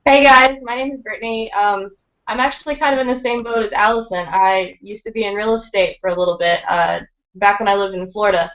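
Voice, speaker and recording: A woman chats informally on a group video call, her voice staying very flat and even in pitch. The audio comes through dull and lo-fi, as from a computer mic. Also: fairly quick. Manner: chatty, informal. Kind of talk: casual online group call.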